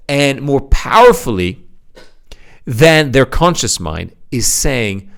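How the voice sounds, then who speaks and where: A man's voice: medium pitch, big pitch swings, slow pace, fairly bright tone, clear, resonant tone. A man giving a motivational sales talk, pressing his points with emphatic swings. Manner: emphatic, rising and falling for effect. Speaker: speaker presenting to an audience.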